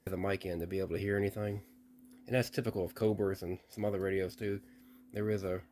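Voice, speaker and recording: Low-voiced man calmly testing old radio gear. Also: quick pace, slight background noise. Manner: plain-spoken, thinking aloud with restarts. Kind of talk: casual unboxing walkthrough.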